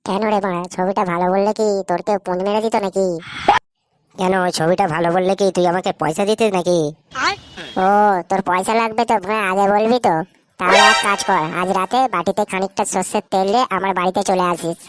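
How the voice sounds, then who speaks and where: A woman's voice: mid-range voice, fairly even intonation, fast, faint music behind, close to an everyday voice. A woman voicing foul-mouthed, cocky young village men who taunt and swear in a comedy cartoon. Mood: taunting banter.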